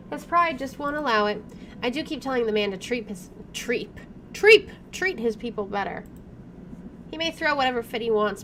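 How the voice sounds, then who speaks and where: A woman hosting a let's play, reading game narration aloud and adding casual, expressive commentary. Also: mid-range voice. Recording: clearly audible background noise.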